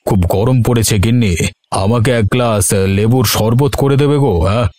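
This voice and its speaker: A man's voice: medium pitch, fairly quick, a little mellow, no echo, natural voice. A man voicing an idle, demanding yet placating household husband in a cartoon, with a coaxing, theatrical manner. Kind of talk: Bengali children's cartoon dialogue.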